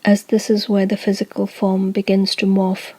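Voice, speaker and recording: A woman speaking as a spiritual channeler, delivering a scripted discourse in a formal, measured, solemn manner. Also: medium pitch, completely flat delivery, mellow, slightly rough, no echo, rough recording. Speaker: channeler speaking as a group of light beings.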